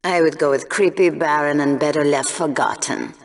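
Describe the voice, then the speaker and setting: An actress playing a sardonic, bloodthirsty co-commentator on a sci-fi bloodsport broadcast in a video game, theatrical and mocking. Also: female voice, low-pitched, fairly even intonation, normal speed. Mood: dry. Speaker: analyst co-commentator. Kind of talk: sports broadcast banter, video game acting.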